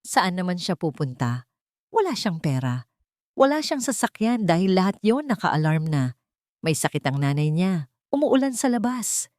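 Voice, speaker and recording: Woman playing a smug, low-voiced male villain. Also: big pitch swings, moderate pace, a little mellow, no room sound, studio quality. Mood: dismissive, irritated. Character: smug male villain, cigar-smoking conspirator, coolly dismissive.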